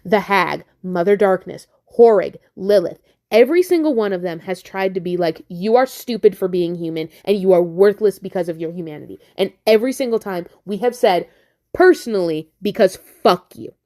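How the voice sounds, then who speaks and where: A woman's voice: medium pitch, animated, normal speed, a little mellow. A woman delivering a prepared, persuasive argument in an emphatic, impassioned way with blunt swearing. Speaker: listener sending in a voice message.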